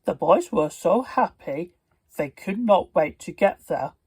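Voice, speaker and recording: A husky voice reads a children's storybook aloud in a halting, careful way. The pitch moves around a lot while the pace stays on the slow side. The delivery stumbles now and then. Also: lively intonation, dry recording. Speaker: storybook reader and video host. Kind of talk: read-aloud storybook narration.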